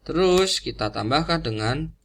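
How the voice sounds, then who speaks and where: A man's voice: fairly high pitch, expressive, unhurried. A man giving an unscripted lesson, explaining step by step in a didactic way and repeating key figures. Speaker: accounting lecturer.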